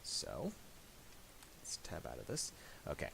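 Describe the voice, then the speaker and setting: A man presenting a casual software tutorial in a halting, mumbled way, on a hissy home recording with clicks. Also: a bass voice, wildly swooping pitch, vocal fry.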